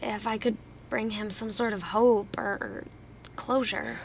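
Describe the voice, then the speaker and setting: A woman's voice: mid-range voice, measured pace, slight background noise, lightly acted. A woman playing an earnest, wounded but hopeful helper in a naturalistic audio drama. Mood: subdued, hopeful.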